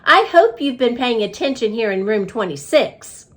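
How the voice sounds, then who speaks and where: Small excitable boy hamster for a storybook, woman performer: friendly, eager, high and very animated. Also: moderate pace, natural voice.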